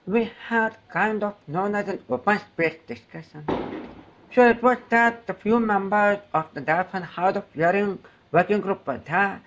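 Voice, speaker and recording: A man's voice: sits high, a bit bright. A man answering interview questions in an earnest, hopeful way. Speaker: guest. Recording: dull lo-fi sound.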